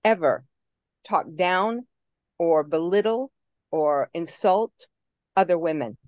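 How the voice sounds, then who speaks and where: A woman's voice: on the low side, animated, slow pace, a little mellow, dry recording. Woman over fifty, actress guest; brassy, blunt, playful delivery, dry sound. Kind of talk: video call interview. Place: home room.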